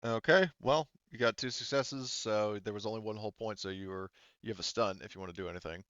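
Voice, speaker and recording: A man's voice: on the low side, quick pace, a bit bright, clean tone, dull audio. A man acting as game master, explaining rules casually and rephrasing as he goes in a hesitant way.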